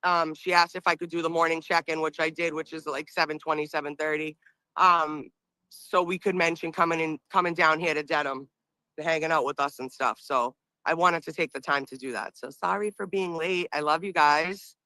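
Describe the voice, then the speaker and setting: A woman with a strong Boston accent hosts a casual livestream from her phone outdoors. Her deep voice still comes across bright and crisp, with a dry, close sound. She rambles cheerfully and laughs often. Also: fairly even intonation, moderate pace, dry recording, rough recording. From Boston area. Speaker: livestream host.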